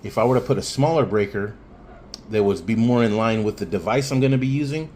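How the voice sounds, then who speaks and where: A man with a fairly low voice gives a casual hands-on tutorial. He is plainspoken and informal, working out his explanation as he goes. There is some background noise on the recording. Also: a little fast, darkish tone. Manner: informal, plainspoken, thinking aloud. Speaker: hobbyist installer giving a how-to.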